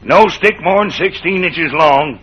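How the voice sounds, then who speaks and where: An actor playing a gruff, terse, suspicious Western man with a husky, folksy drawl in a radio drama. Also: on the high side, measured pace. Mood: curt, gruff. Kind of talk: old-time radio Western acting. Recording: muffled old radio broadcast.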